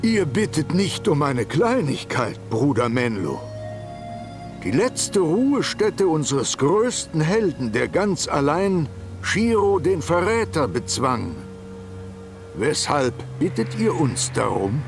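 Stately, slow-spoken count. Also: male voice, fairly high pitch, very animated, over music, full character voice. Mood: formal, reserved. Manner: formal, measured, ceremonious. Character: stately, formal older count, guardian of a relic. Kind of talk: video game cutscene acting.

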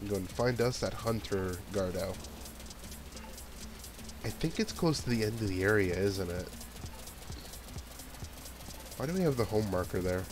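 A man's voice: lowish voice, expressive, moderate pace, pauses often, noisy background. A man with a stuffy nose giving casual commentary while playing a video game, thinking aloud about what to do next. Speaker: let's play gamer. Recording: game music playing under voice. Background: game background music.

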